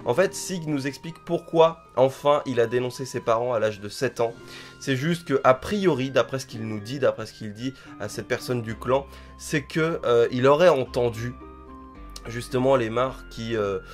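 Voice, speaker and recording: Male manga reviewer with a cold, stuffy-nosed, mid-pitched, rambling and thinking aloud. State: has a head cold, stuffy. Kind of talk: casual spoken chapter review.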